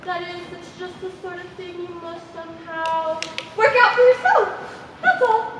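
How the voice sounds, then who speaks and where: Stage play: actress as brisk, dismissive committee girl, extremely high voice, distant in a noisy hall. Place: theater stage, distant microphone. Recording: echoey noisy theater hall from far off.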